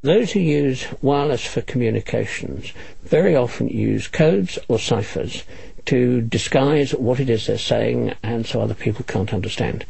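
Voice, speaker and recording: A man answering as an interviewed expert in a measured, precise, guarded manner. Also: mid-range voice, gravelly, a little mellow, husky, no echo, low-quality recording.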